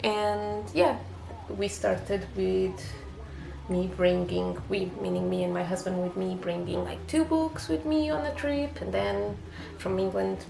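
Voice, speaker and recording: A woman from Portugal speaking English with a Portuguese accent, her voice gravelly with fry. She talks in a casual, halting way, full of fillers and restarts. The echoey room at home adds a roomy sound. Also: medium pitch, steady tone. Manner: hesitant, many fillers and restarts. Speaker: booktuber. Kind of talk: casual vlog chat.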